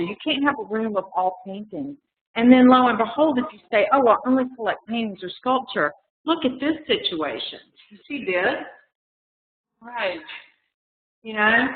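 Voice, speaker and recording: A woman art collector talking casually in a webinar, rambling with lots of fillers and restarts, and losing her voice. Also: mid-range voice. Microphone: video call. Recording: echoey room over tinny video call.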